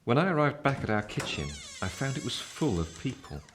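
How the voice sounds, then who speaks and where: A man's voice: mid-range voice, sing-song, a little fast, music in the background, natural voice. An actor playing a reflective grown man narrating his youth, with an English accent, in a dramatic literary style. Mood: grave, tense.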